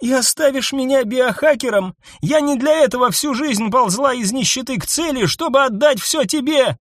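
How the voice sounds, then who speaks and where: A man's voice: very high voice, slow, no room sound, clean studio sound, voice pushed up, exaggerated voice. A male narrator voicing a wounded, exhausted woman who pleads and sobs. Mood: defiant. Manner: sobbing, pleading. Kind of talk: audiobook character voice.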